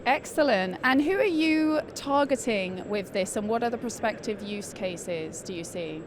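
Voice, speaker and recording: A woman's voice: medium pitch, expressive, measured pace. A woman interviewer putting questions to a guest at a trade show. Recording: noisy trade show floor.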